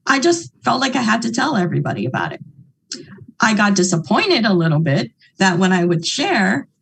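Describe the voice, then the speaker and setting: A woman from Hawaii talks earnestly to her network-marketing audience, chatty and talkative, with many asides. Her voice sits in a middle pitch, and she often checks in with the listeners with little tags. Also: slightly rough, rough recording. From Hawaii, United States. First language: English. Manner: chatty, earnest, repeats points with "okay?" and "right?". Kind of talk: motivational talk.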